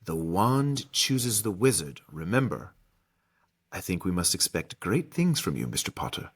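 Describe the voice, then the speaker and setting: A man voicing an eccentric old wandmaker from a storybook, ominous and mysterious, with slow, deliberate, savoring delivery. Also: low-pitched, big pitch swings, husky, voice pushed down, exaggerated voice. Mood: ominous, hushed.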